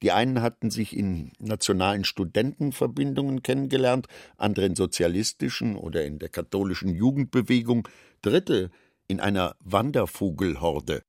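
A man narrating a literary radio drama in a deliberate, matter-of-fact manner. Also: fairly low pitch, animated, creaky, a little mellow, no room sound, clean studio sound.

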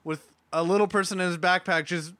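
A man's voice: high voice, fairly even intonation, a little fast, fairly bright tone, dry recording. A man co-hosting a podcast, chatting casually with the group. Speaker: podcast co-host.

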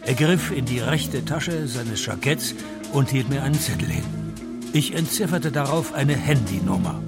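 A gravelly male private detective in a radio play. He is deadpan, laconic and sardonic, at a middling pitch with music behind him. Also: moderate pace. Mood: deadpan narrating. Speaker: lead actor and first-person narrator of a radio play. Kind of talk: radio drama dialogue and scripted first-person narration.

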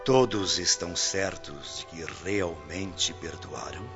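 A man acting a solemn, benevolent spirit guide who preaches forgiveness in a measured way in a radio drama. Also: low voice. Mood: solemn, exhorting. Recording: muffled, long reverb, music behind.

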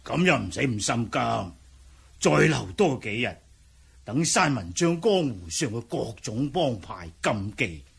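Casting: caring old Cantonese great-uncle, creaky and rough-voiced, authoritative, deliberate, for radio drama. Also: male voice, mid-range voice, exaggerated voice. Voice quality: husky, gravelly. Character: elderly martial clan great-uncle, caring, measured, authoritative.

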